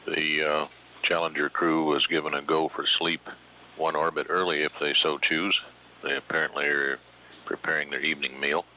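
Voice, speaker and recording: A man giving formal mission control status announcements in measured, halting phrases. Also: a bass voice, little pitch movement, sharp tone, slight background noise, rough recording.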